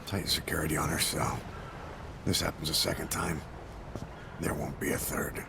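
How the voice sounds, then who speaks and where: An actor playing a grim military commander in a video game, terse and menacing. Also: male voice, a bass voice, normal speed, vocal fry, noisy background, exaggerated voice. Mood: stern, cold. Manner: terse, menacing, flatly delivered. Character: grim, commanding military man issuing orders.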